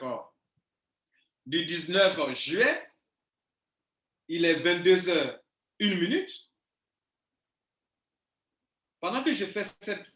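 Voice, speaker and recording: Man, 40s, business coach; high-pitched, insistent, repeats points, roomy echo. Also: sharp tone. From Ivory Coast. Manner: insistent, emphatic, repeats himself. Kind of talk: video monologue to viewers. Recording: echoey room.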